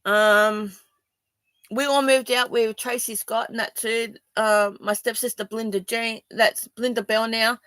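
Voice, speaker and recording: A woman's voice: a little high, steady tone, a little slow, fairly bright tone, no echo. An Australian woman from Sydney speaking to viewers in a personal video, rambling and defensive, with many ums and restarts. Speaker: vlogger.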